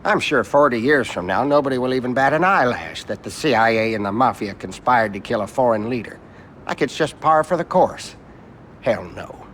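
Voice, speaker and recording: An actor playing an indignant, worried senator tangled up with mobsters in a game cutscene, agitated and sardonic. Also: male voice, medium pitch, expressive, moderate pace, fairly bright tone, a little hiss or hum, lightly acted. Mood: sardonic outrage. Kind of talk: video game cutscene acting.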